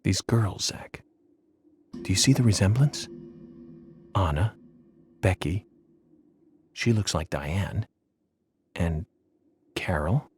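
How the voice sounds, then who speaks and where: An actor playing a self-assured federal agent in a video game, musing and confiding as if to an unseen companion. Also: male voice, sits low, expressive, slow, pauses often, a little mellow. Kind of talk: video game cutscene acting. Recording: clear background noise and ambience.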